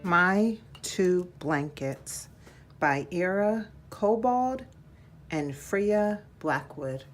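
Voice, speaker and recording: A woman reading a children's picture book aloud in a measured, deliberate storytelling style. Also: lowish voice, animated, soft background music.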